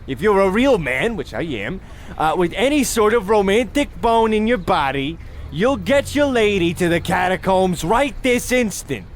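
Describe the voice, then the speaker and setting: A man acts out a pompous husband in a comic radio play, pitched high for a man. He blusters theatrically and grandly, oblivious to his wife. A faint noise sits behind the voice. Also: sing-song, moderate pace. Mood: insistent, grandiose. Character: pompous, oblivious, bickering American husband on holiday. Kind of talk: comedic radio play acting.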